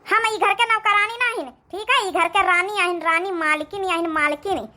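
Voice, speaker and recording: A woman plays a bossy old village mother-in-law from an Awadhi cartoon comedy. The voice is extremely high and piercingly bright. She speaks in a scornful, haughty, scolding rustic way. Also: moderate pace. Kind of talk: Hindi moral-story cartoon comedy acting.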